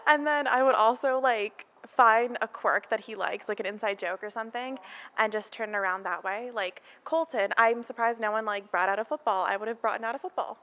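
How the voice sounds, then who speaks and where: A woman's voice: on the high side, normal speed, fairly bright tone. A nineteen-year-old woman answering a campus interview, playful and chatty. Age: teens. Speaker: student interviewee.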